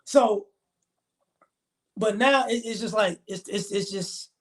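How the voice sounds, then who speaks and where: A man's voice: sits high, slow pace. A man in his forties hosting a live stream, talking in a rambling, emphatic, slangy way. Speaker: livestream host. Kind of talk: casual live-stream commentary.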